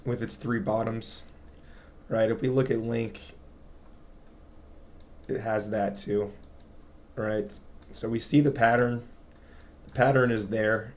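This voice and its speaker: Raspy-voiced man hosting a crypto stream. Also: mid-range voice, a little mellow. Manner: chatty, explains to viewers, asks "right?". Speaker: crypto livestream host. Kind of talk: casual livestream chart talk. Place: home studio. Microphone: studio mic.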